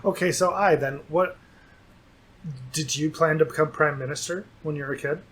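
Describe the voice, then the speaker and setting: A man's voice: a little high, fairly even intonation, normal speed, fairly bright tone, slightly rough. A man co-hosting a comedy news show, delivering jokes dryly and teasing his co-host. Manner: dry joking delivery, playful teasing. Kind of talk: scripted comedy news banter.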